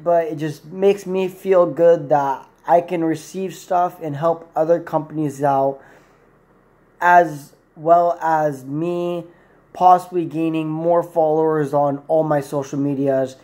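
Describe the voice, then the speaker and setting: A young man with a slightly high voice reviews a product off the cuff. He talks casually to a camera in a plain indoor room, rambling and correcting himself as he goes. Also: darkish tone. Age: 20s.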